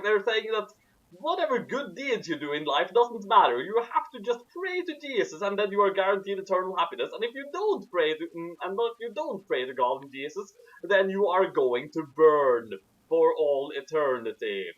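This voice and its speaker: A man's voice: sits high, dull audio. A man doing a mocking dramatic reading with sarcastic, casual commentary and a theatrical manner. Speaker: reader and commentator.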